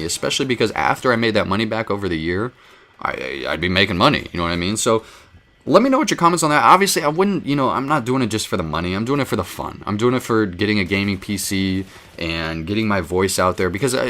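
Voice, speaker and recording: A man's voice: fairly low pitch, fairly bright tone. A young man rambling casually to his viewers about gaming, with lots of fillers and restarts, into a desk mic. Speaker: gaming video creator. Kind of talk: casual rambling vlog talk.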